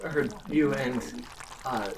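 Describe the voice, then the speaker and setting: A man's voice: medium pitch, slow pace, distant mic, slight background noise, pitched above the performer's own voice, lightly acted. A man voicing a timid, eager-to-please slime shopkeeper in a tabletop game, hesitant and stammering. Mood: flustered.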